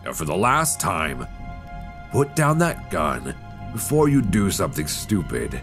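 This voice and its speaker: A man's voice: deep voice, huge pitch swings, full character voice. A man playing a shivering, defensive stranger in a horror audio roleplay, stammering and sighing as he talks. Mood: defensive, agitated. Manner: halting, stammering, breathy sighs. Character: shivering, defensive man, secretly an impostor creature. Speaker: voice actor. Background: music.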